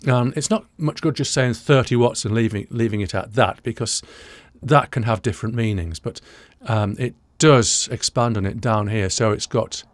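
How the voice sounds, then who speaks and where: A man's voice: mid-range voice, normal speed, hardly any pauses, no room sound. Dry-sounding older British man explaining audio. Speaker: audio engineering presenter. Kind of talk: explanatory reaction commentary to camera. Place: small indoor studio room, dry.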